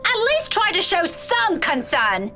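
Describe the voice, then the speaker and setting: Actress as bright, high-voiced, headstrong young woman. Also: big pitch swings, unhurried, soft background music, heavily acted. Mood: angry reproach. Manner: sarcastic, accusing. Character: headstrong, exasperated young woman confronting a friend. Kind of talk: visual novel voice acting.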